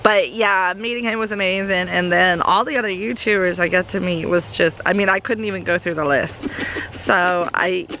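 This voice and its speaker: A woman, a little hoarse, chatting upbeat to her camera and kids outdoors in a homestead vlog. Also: on the low side, steady tone, normal speed, sharp tone, a little hiss or hum. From Southern United States. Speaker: homestead vlogger. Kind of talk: casual vlog chat. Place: outdoors in a backyard.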